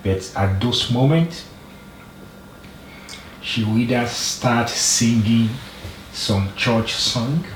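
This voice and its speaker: A middle-aged man with a Nigerian accent, sharing slowly and haltingly with many restarts in a group talk. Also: mid-range voice. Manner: slow, halting, with repeated restarts. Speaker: group member, father. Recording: roomy, distant mic, faint noise.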